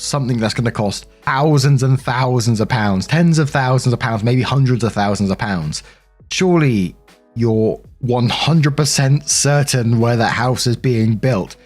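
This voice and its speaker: A man's voice: medium pitch, a little mellow. A British man hosting and narrating stories casually, in a chatty, rambling, sweary way. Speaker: narrator and host of a story compilation. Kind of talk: casual storytelling with personal commentary.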